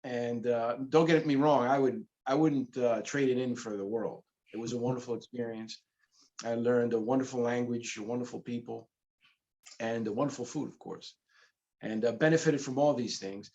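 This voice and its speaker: Middle-aged male guest from the Bronx, Spanish first language, animated storyteller; mid pitch, dull lo-fi audio. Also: normal speed. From the Bronx, New York. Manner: animated, laughs while telling stories. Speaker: comic book publisher, guest. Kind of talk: casual interview storytelling.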